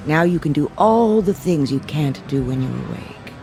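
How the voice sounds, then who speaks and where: An actress playing a sinister, seductive dream temptress in an audio drama, coaxing and insistent. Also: female voice, deep voice, lively intonation, normal speed, vocal fry. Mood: seductive, soothing.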